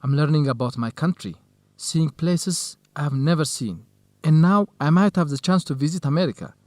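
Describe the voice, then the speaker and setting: A man's voice: a little high, animated, normal speed, a little mellow, dry recording, natural voice. An actor playing an earnest, grateful young Ethiopian male research assistant in an educational radio drama.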